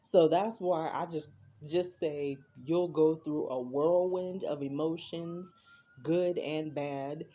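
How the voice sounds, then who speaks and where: A woman's voice: low-pitched, fairly even intonation, slow pace, mellow. A woman, chatting to her viewers in a reflective, repetitive way. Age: 20s. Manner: reflective, chatty, repeats herself. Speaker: vlogger. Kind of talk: casual talk to camera.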